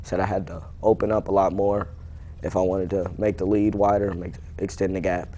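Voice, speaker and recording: A man's voice: sits low, flat delivery, brisk, mellow, slight background noise. A young man, an athlete being interviewed, answering casually in a plain, matter-of-fact, informal way.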